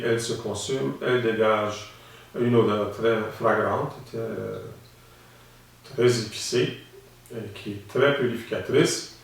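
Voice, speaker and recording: Echoey man explaining calmly. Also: lowish voice, gravelly. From Saskatchewan, Canada. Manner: calm, explanatory, with frequent hesitations. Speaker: instructor. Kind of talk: instructional demonstration. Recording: very echoey room, mic at a distance.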